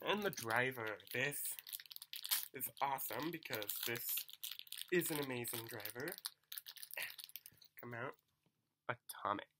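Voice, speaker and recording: A young man with a cold chatting excitedly through a toy unboxing at home, recorded on a camera mic. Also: mid-range voice, slow, long pauses, slight background noise, rough recording. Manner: excited, chatty, self-deprecating. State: coming down with a cold. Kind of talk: casual unboxing commentary. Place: small home room, bedsheet backdrop.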